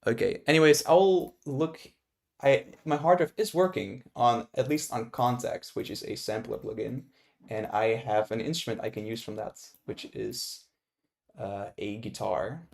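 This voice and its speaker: A man's voice: mid-range voice, unhurried, creaky. Rambling young man streaming from his home studio. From Netherlands. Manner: rambling, many fillers and restarts, sudden excited outbursts. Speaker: music producer streaming live. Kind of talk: casual live-stream talk while working.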